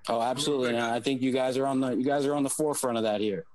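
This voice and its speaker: A man phoning a talk show as an admiring caller, eager and flattering, with some hesitation. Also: mid-range voice, very fast. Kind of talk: prank call posing as an admiring supporter.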